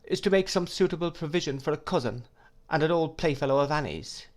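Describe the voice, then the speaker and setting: Casting: male reader voicing an elderly, kindly schoolmaster, raised pitch, hesitant and scholarly. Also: fast talker, natural voice. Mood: mild, earnest.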